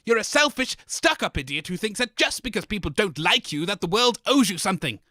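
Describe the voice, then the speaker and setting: A man playing a scornful, exasperated, sharp-tongued radio co-host in an absurd comedy. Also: sits high, very animated, a little fast, dry recording, clean studio sound, heavily acted. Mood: scornful anger.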